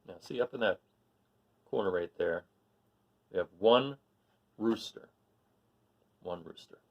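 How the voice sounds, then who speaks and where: A man reading a picture book aloud to children, pausing to count along and quiz his young listeners. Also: low-pitched, muffled recording.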